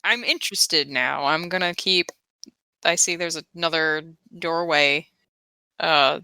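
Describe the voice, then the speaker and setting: A woman plays a tabletop game in an informal, thinking-aloud way. Her voice is quite low for a woman but bright and forward. The sound is close and dry. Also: measured pace, clear, resonant tone, no echo. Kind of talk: casual chat while role-playing.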